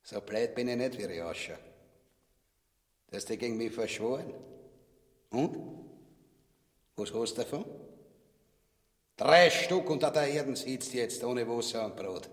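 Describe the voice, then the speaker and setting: A man's voice: medium pitch, expressive, a little slow, long pauses, natural voice. An actor playing a crude, pompous usurper king in a satirical radio play, mocking in a Viennese accent. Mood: gloating, mocking. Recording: echoey hall with long reverb.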